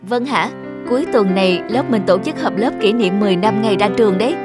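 A woman playing a chatty, insistent young friend in a cartoon, brisk and familiar. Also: medium pitch, natural voice. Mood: eager, newsy. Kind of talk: cartoon dialogue. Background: music.

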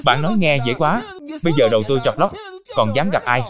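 A man voicing cheeky, stubborn talking plants in an animated cartoon, with a sassy, exclamatory delivery. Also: medium pitch, fast, crowd noise, natural voice. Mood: indignant, stubborn. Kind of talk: animated cartoon dialogue dub.

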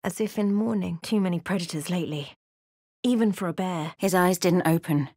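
An actress playing an observant, wry woman adventurer in a fantasy video game, with measured, dramatic storytelling delivery. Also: on the low side, darkish tone, husky, no echo, clean studio sound. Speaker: voice actor for a fantasy role-playing game companion or player character. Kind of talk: scripted video game voice lines, narration and character remarks.

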